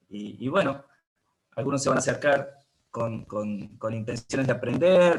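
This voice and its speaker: A man with an Argentine accent hosting an online talk informally, speaking haltingly as he searches for words. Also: mid-range voice. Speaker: host of an online theatre talk. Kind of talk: informal spoken closing remarks and moderation.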